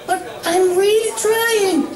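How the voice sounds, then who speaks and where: Very high, timid little pony girl. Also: steady tone, slow, a crowd in the background. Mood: pleading. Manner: hesitant, apologetic, soft-spoken. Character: timid, sweet young female pegasus pony. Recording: echoey room, distant mic.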